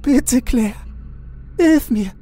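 Suffering young woman played by a male narrator, horror audiobook: high, feeble, pleading, slow. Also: mellow, full character voice. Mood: pleading, in pain. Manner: weak, plaintive, high-pitched pleading.

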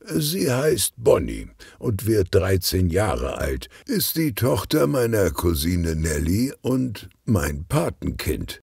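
A cheerful, portly police chief , as a male reader puts him on from a children's audiobook. His low voice takes its time and swings through lively inflections, teasing and good-humoured. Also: slow, vocal fry, hardly any pauses, slightly rough, dry recording, clean studio sound, heavily acted. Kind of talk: children's audiobook character reading.

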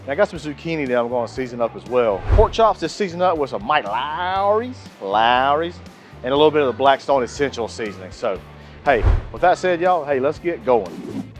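A man's voice: mid-range voice, sing-song, brisk, faint music behind. Folksy man cooking outdoors. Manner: folksy, chatty, says "y'all" often. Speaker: cooking channel host. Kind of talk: casual cooking vlog. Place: outdoors on a beach by the ocean. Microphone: clip-on lapel mic.

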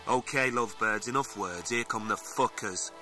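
A cocky male gang leader, played by a male reader, brash and itching for a fight, urges the others on. It is character dialogue from an audiobook, with music clearly behind it. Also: fairly low pitch, normal speed, close to an everyday voice. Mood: cocky, eager. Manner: brash, urging.

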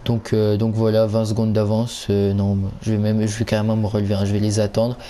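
Male gaming host. Relaxed, warm tone, stumbling casual play-by-play, light noise behind. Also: on the low side, brisk. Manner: hesitant, stumbling, repeats himself. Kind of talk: casual live game commentary.

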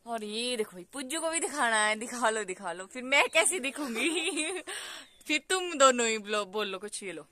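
Bright-voiced young woman with a Pahari accent. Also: on the high side, lively intonation, normal speed, hardly any pauses. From Himachal Pradesh, India. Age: young adult. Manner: giggly, teasing, talks straight to viewers. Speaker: vlogger. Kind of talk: casual chatty vlog. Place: outdoors on a hillside with family. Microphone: phone camera held at arm's length.

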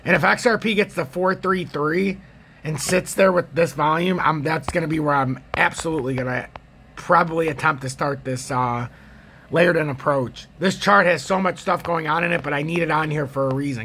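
An American man with a gravelly, husky voice giving casual, rambling, opinionated chart commentary as a trading video host. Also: fairly high pitch, normal speed, sharp tone, slight background noise.

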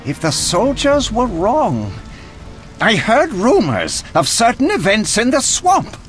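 An eccentric game alchemist, high-voiced and very animated. He speaks like a fussy scholar, precise and morbidly curious, over faint music. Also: slow pace, heavily acted. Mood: scholarly, fascinated. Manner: erudite, precise, relishing grisly detail. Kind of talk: video game dialogue acting.

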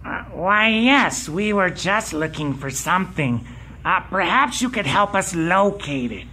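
A man's voice: sits high, expressive, measured pace, bright, forceful tone, slight background noise, heavily acted. An actor playing a gleefully sadistic Nazi henchman who grumbles and drawls with whiny menace in an audio drama. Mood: evasive.

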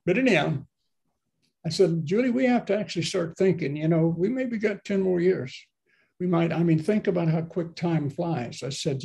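A man's voice: a little high, gravelly, darkish tone. A man in his seventies, a pastor, preaching in a loose, conversational way from a home office over a video call, with asides and questions to his listeners. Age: 70s. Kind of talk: sermon. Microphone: laptop webcam on a video call.

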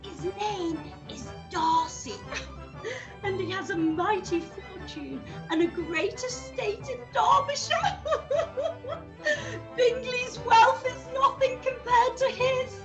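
A middle-aged woman playing an excitable, effusive mother in a period radio play. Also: very high voice, slow pace, over music, heavily acted. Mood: excited, gleeful. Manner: effusive, dramatic, breathy laughs and gasps. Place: small room at home, indoors. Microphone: video call.

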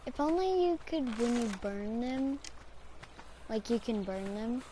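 A woman with a hoarse, strained voice from a sore throat, rambling casually as she comments on her own gameplay. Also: on the high side, lively intonation, a little slow, warm tone, a little hiss or hum, rough recording. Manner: rambling, talks to herself while playing. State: hoarse, recovering from strep throat. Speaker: gamer.

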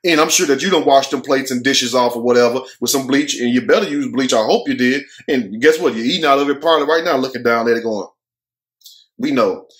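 A man's voice: medium pitch, brisk, a bit bright. An American man in his thirties giving casual, opinionated commentary as a show host, blunt, slangy and joking, with plenty of swearing. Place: furnished room at home.

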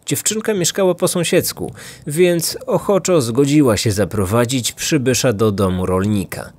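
A man's voice: mid-range voice, normal speed, darkish tone, slightly rough. A man hosting and narrating a true-crime story from a script. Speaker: podcast host, narrator. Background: background music.

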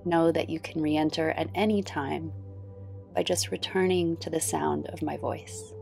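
Low, slow-spoken woman guiding meditation over music. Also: steady tone, gravelly. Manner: soothing, gentle, unhurried. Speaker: yoga nidra guide. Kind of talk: guided meditation. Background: background music.

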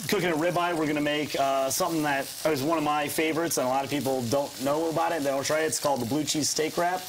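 Male guest cook, 30s, American. Brisk, informal explanations over light kitchen sizzle. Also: a little high, fairly even intonation, rough recording. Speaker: restaurant and brewery owner, guest cook. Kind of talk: casual explaining while cooking. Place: indoor TV studio kitchen. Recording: faint pan sizzle and stirring noise.

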